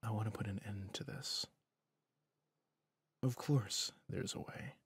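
A man playing a weary, reflective villain in an ASMR roleplay, speaking softly and gently with deliberate pauses, breathy and often near a whisper. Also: fairly low pitch, full character voice. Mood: weary, resolute. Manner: slow, soft, pensive, with deliberate pauses. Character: weary male villain, reflective, gentle toward his rival hero. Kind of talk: ASMR roleplay audio drama.

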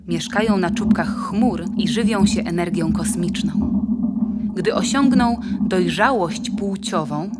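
A woman reading scripted narration in the style of a nature documentary for an audio play. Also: lowish voice. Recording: music behind the voice. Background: music.

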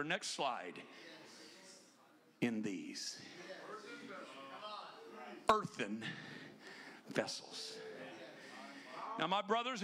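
A man in his fifties preaching a sermon in a church sanctuary, emphatic and often shouting, with quick joking asides. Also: very high voice. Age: 50s. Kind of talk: energetic Pentecostal sermon. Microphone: handheld microphone.

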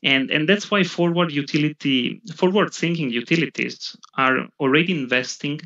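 A man in his thirties with a slight Italian accent, presenting in a webinar from a home office over a video call, often halting with fillers and restarts. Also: on the high side, gravelly, bright, forceful tone. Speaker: product marketing head presenting. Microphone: webcam video call. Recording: dull lo-fi video call audio.

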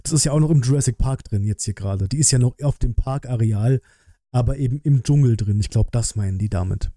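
A man in his thirties talks casually on a live stream, musing out loud in colloquial phrases. His voice is strikingly bright and crisp-edged, at a middling pitch. The sound is dry and close. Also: fast, dry recording. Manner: musing, colloquial, thinking aloud. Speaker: streamer reacting to a game trailer.

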